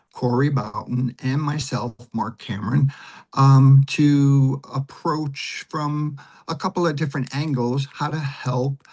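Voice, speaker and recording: A man's voice: medium pitch, darkish tone. A man in his late sixties explaining things conversationally as an interview guest on a video call. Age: 60s. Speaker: interview guest, readers theater teacher.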